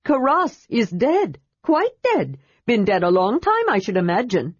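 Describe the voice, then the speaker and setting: A woman voicing an excitable, chatty young male kender with high, indignant outbursts in a storybook reading. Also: brisk, husky, dry recording, highs cut off. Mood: eager.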